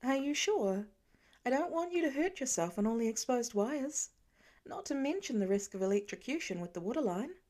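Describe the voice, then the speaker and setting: Woman as a gentle, patient mother, highish voice. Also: lively intonation, moderate pace, lightly acted. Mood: caring, wry. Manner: gentle, encouraging, reassuring. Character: patient, caring mother of young and teenage children. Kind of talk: audiobook character voice.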